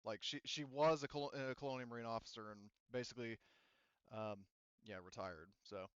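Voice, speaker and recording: A man acting as game master, casually narrating and making rulings in a halting, rambling way that often interrupts itself. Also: mid-range voice, fairly even intonation, clean tone, dry recording, muffled recording.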